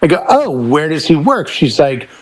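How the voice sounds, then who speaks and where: Middle aged dj guy, kinda higher voice, telling stories casually on a panel. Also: sing-song, moderate pace, poor audio. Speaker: DJ and talk show panelist. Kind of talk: casual chat and storytelling.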